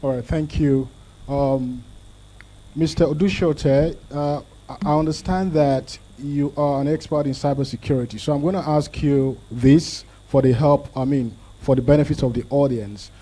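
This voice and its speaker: A young man moderating a conference panel, polite and slow with fumbling phrasing, into a handheld mic. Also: medium pitch, fairly even intonation, mellow, low-quality recording. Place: conference hall on stage.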